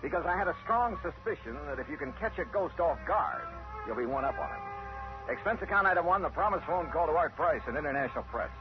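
A man acts out a hard-boiled, skeptical male investigator from a vintage radio play. His talk is clipped and wry, with lively pitch and folksy asides. The sound is dull and old, with music underneath. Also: fast talker, close to an everyday voice. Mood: wry narration. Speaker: lead actor and narrator. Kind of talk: old-time radio detective drama acting. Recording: muffled vintage radio recording, music behind.

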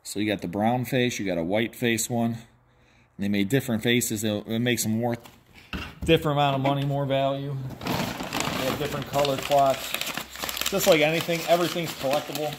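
A man's voice: mid-range voice, fairly even intonation, moderate pace. A middle-aged man giving casual, playful commentary as he handles items, thinking aloud and given to exclaiming. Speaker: auctioneer and host. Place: large cluttered indoor workshop or warehouse. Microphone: handheld phone camera a step or two away. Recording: noisy handheld recording with handling clicks.

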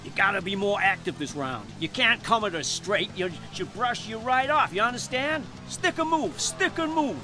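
A man's voice: high voice, expressive, moderate pace, few pauses, over music. An actor playing a commanding, exhorting boxing cornerman in a comic audio drama. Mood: urgent coaching. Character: gruff, urgent male boxing cornerman coaching a dater.

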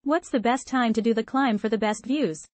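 A woman with a slightly high voice reads scripted lines in a language-lesson dialogue. The recording is dry and close, like a studio voice-over. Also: normal speed, a little mellow, no echo.

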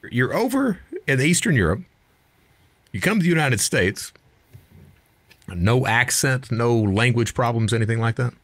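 Eastern Kentucky man with a lively voice. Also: mid-range voice, measured pace, pauses often, no echo. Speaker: podcast host. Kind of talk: interview questions. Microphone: studio mic with headphones.

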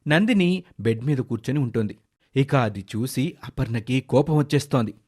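Mid-pitched male story narrator. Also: lively intonation, moderate pace, a little mellow, breathy edge.

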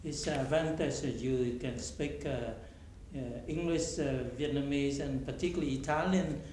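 A middle-aged man, a priest, asking interview questions in short, soft prompts in a small office. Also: medium pitch, steady tone, normal speed, slight background noise. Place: small office room indoors. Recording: roomy, distant sound.

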